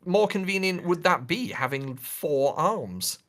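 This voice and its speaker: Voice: medium pitch, animated, measured pace, a bit bright. A podcast co-host chatting casually in a playful, rambling way. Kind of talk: casual chat.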